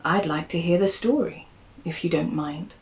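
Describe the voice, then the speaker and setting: A woman's voice: fairly low pitch, moderate pace, darkish tone, far from the mic, natural voice. Woman doing a prim, fond aristocratic aunt.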